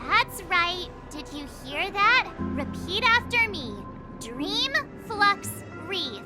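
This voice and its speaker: A woman's voice: very high-pitched, very animated, over loud music, full character voice. Bubbly, teasing young woman. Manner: bubbly and teasing, quick chatter. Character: cheerful, chatty young woman companion. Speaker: game character. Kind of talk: dubbed game cutscene acting.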